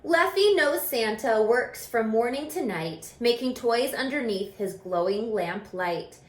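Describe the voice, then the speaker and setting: A woman reading a children's story aloud in an expressive, explaining manner, pausing to put questions to young listeners. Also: a little high. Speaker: story reader and teacher. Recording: roomy, echoing room sound.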